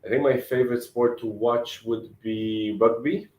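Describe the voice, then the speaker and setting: A man in his twenties from Romania, answering interview questions in a relaxed, thoughtful way and often repeating words as he thinks. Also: mid-range voice, measured pace, roomy sound, low-quality recording. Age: 20s.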